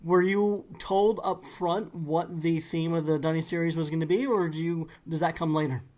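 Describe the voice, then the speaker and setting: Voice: medium pitch, steady tone, normal speed, a little mellow. A podcast host asking long, easygoing questions, with fillers and restarts. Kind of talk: casual interview chat.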